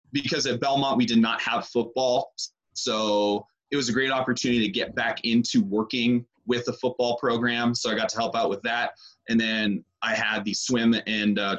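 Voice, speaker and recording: A man's voice: medium pitch, fairly bright tone, muffled recording. Easygoing man in his thirties. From British Columbia, Canada. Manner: easygoing, unhurried, lots of pauses. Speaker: strength and conditioning coach, guest. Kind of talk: casual interview, recounting his career.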